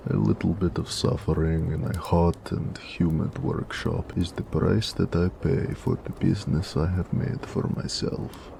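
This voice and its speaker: A man playing a gentle, teasing orc blacksmith in a romantic audio roleplay, with an affectionate manner. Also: a little hiss or hum, heavily acted. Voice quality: deep, gravelly. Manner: affectionate, playfully sarcastic, unhurried.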